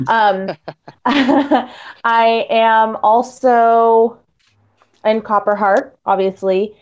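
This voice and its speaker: A woman's voice: on the high side, fairly even intonation, extremely slow, clean tone. Woman chatting, slightly lo-fi sound. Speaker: voice actress. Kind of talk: casual panel chat. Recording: slightly dull lo-fi call audio.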